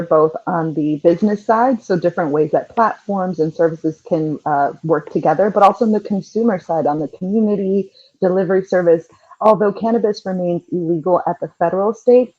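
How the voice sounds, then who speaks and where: Mellow, lowish-voiced woman on dull audio. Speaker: panelist, cannabis marketer. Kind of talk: informal panel explanation. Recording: slightly dull call audio.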